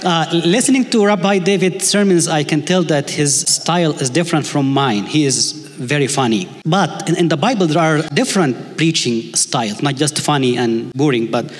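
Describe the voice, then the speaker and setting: Egyptian-accented pastor preaching in a hall. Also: male voice, fairly high pitch, normal speed, few pauses. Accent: Egyptian Arabic. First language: Arabic. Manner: playful, jokes with the congregation. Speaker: visiting pastor. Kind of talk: sermon. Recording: long hall reverb.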